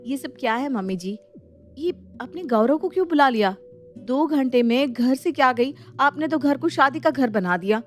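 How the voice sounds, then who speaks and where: A woman voicing a sensible young daughter-in-law who protests in a lively, exasperated way in an animated story. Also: high-pitched, moderate pace, soft background music, pitched above the performer's own voice. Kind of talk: animated Hindi moral story acting.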